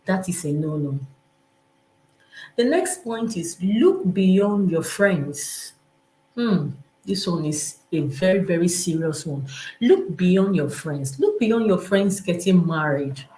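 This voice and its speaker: A woman with a Nigerian accent and a slightly low voice teaches in an informal, motivational way. She is emphatic and repeats key phrases, slipping into imagined remarks conversationally. The sound is noticeably roomy and echoing, as on a live stream. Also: lively intonation, slow pace, warm tone.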